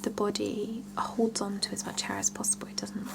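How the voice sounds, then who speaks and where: A woman whispering softly in an ASMR roleplay as a salon scalp therapist, chatting and explaining gently and without hurry. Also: mid-range voice, completely flat delivery, normal speed. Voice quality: soft breathy whisper with creaky edges.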